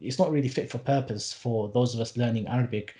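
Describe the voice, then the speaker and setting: A man's voice: medium pitch, fairly quick, dark tone. A man in his late twenties, a podcast guest who teaches Arabic, talking casually and earnestly, with lots of restarts and fillers and some wry asides. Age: late 20s. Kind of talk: casual conversational interview.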